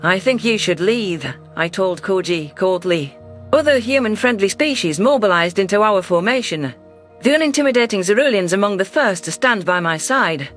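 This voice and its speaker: A man reading a science-fiction story in a steady, measured way, his voice sitting fairly high. Faint music plays under the narration. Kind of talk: scripted sci-fi audiobook narration.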